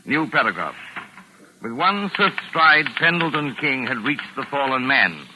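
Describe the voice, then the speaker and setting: An actor playing a pompous, touchy mystery novelist with precise, exasperated formal diction, in 1940s radio drama style. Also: male voice, medium pitch, big pitch swings, a little slow, noisy background. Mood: dictating. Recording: raspy vintage 1940s radio recording.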